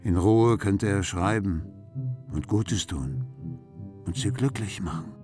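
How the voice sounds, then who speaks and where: A man's voice: deep voice, takes it slowly, music in the background. A man narrating a literary radio play in an observant, composed way. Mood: composed, wry.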